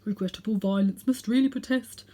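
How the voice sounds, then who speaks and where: A woman's voice: mid-range voice, brisk, dark tone, close to an everyday voice. A woman reading a storybook, voicing a cowardly, flustered uncle who stammers and mutters. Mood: muttering protest.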